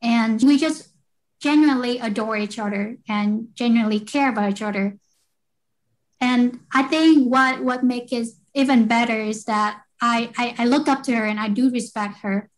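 A woman's voice: on the high side, normal speed, poor audio. Earnest young woman from Vietnam. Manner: earnest, with small repeats. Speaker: guest, electrical engineer. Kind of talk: interview storytelling.

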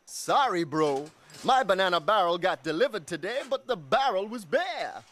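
Laid-back, swooping surfer-dude ape. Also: male voice, high-pitched, sing-song, normal speed. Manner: mellow surfer slang, easygoing. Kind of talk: cartoon voice acting.

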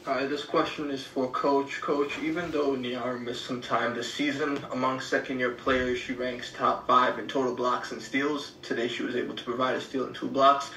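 A man's voice: mid-range voice, flat delivery, normal speed, hardly any pauses, sharp tone, slight background noise. A man reporter asking a stats-heavy question at a press conference. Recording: echoey room, voice from far off.

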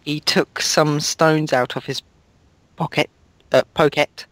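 A man's voice: fairly high pitch, fairly even intonation, slow, no room sound. A man reading a fan story aloud in a mock-dramatic way, stumbling over words and laughing at the text.

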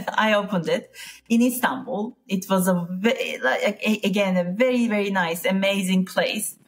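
A woman's voice: medium pitch, unhurried, slight background noise. A woman from Turkey with a Turkish accent, talking casually as an interview guest and often searching for words. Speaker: interview guest, piano teacher.

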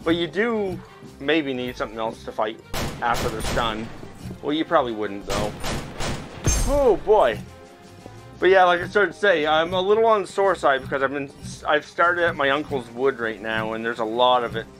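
Animated man commentating over game music. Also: mid-range voice, normal speed. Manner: excitable, reacting aloud while physically playing. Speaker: video game streamer. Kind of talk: casual gameplay commentary.

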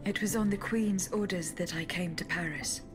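A woman's voice: lowish voice, normal speed, lightly acted. An actress playing a courteous, unflinching female automaton bodyguard in a video game, formal and composed. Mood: composed, challenging. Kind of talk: video game cutscene acting. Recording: game music behind the voice.

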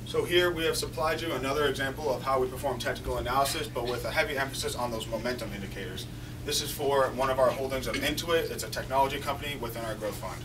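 A man's voice: medium pitch, quick pace. A young man presenting on stage, walking the audience through stock charts. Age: young adult. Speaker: student presenter. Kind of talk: rehearsed finance presentation. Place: lecture hall on stage. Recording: distant voice with room noise.

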